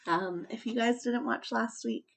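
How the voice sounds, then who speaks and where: A woman hosting a children's story time, chatting casually and reading aloud in an expressive, emphatic way. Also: fairly high pitch, unhurried, creaky. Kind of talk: casual chat and animated storybook read-aloud.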